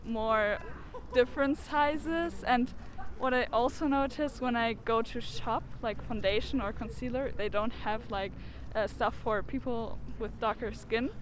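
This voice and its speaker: A young woman from Germany answering street interview questions outdoors, pausing with fillers. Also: fairly high pitch, noisy background. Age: young adult. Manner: hesitant, many fillers. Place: outdoors at a city plaza. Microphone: handheld mic held by interviewer.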